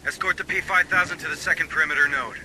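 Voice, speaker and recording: An actor playing a confident, commanding marine sergeant in a military video game, giving brisk orders with cocky humor. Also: male voice, a little high, music in the background, close to an everyday voice, rough recording. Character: gruff, confident marine sergeant commanding his squad in battle.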